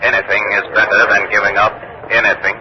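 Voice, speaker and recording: Actor as a deep-voiced, defiant young Confederate general, muffled noisy radio. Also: male voice, flat delivery, quick pace, close to an everyday voice. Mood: defiant, impassioned. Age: young adult. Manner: terse, formal, oratorical. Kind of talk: old-time radio drama acting.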